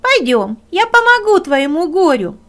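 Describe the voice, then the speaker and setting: A woman voicing a bold, strutting male rooster in a loud, commanding storybook chant. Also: very high voice, big pitch swings, unhurried, voice pushed up, full character voice. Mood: helpful.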